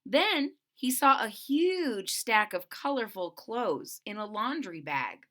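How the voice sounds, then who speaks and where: A woman storyteller reads aloud for children in a bright, fairly high voice. Her delivery is expressive and lively, with simple recaps along the way. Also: takes it slowly.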